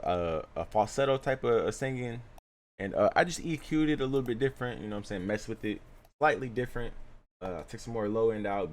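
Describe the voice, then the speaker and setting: Laid-back young man explaining mixing. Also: fairly low pitch. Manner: laid-back, filler-heavy, keeps saying "you know what I'm saying". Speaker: music producer teaching vocal mixing. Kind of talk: casual screen-recorded tutorial.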